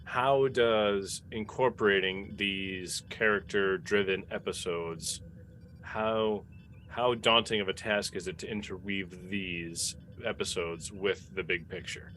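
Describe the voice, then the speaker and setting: Male panel questioner, fairly low pitch, halting deliberate phrasing, casual, mildly noisy call audio. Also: fairly even intonation, fairly bright tone. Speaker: cast member asking questions. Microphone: video call.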